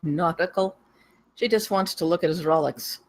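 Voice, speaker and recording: A woman reads the part of a worldly, easygoing wife in a film script. Her voice is slightly low for a woman and sounds matter-of-fact and knowing. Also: normal speed. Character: knowing, experienced, easygoing married swinger woman. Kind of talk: screenplay table read.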